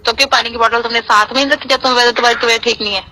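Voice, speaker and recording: A woman talks quickly in a bright, fairly high voice on a recorded phone call. She is scolding and insistent, issuing commands in a private emotional argument. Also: steady tone.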